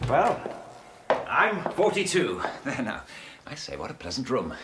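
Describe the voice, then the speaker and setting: Lively, polite English gentleman. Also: mid-range voice, a little slow, noisy background. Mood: polite, flustered. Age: 40s. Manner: courteous, earnest, hesitant. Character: polite, easily flustered English gentleman traveller of forty-two. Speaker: actor. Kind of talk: radio drama acting.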